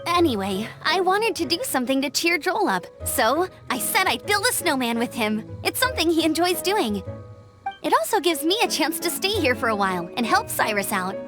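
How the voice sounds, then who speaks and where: A kind young female scout in a fantasy game. Her voice is high and animated, upbeat and earnest, with music clearly underneath. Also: normal speed.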